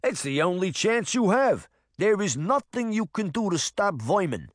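A man's voice: high-pitched, very animated, moderate pace, no echo, clean studio sound, pitched above the performer's own voice. A man voicing a nervous, paranoid male cat who is an army veteran, with a New Jersey accent. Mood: panicky. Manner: nervous, dramatic, doom-laden.

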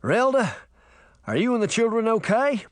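Man voicing a harried, baffled sheriff, raised pitch. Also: very animated, moderate pace, no echo, studio quality. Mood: concerned. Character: harried, baffled small-town lawman, polite, grown man. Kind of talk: audiobook character voice.

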